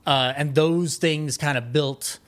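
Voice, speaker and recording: A man speaking as a podcast guest, reminiscing casually in a rambling, halting way that often circles back mid-sentence. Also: fairly high pitch, steady tone. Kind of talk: casual conversational interview, reminiscing.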